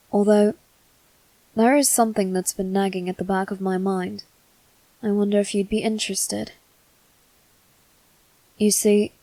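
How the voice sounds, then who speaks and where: A woman voicing a male demon servant in a scripted ASMR roleplay, speaking formally and courteously with soft, flirtatious teasing. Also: medium pitch, dry recording, close to an everyday voice, rough recording.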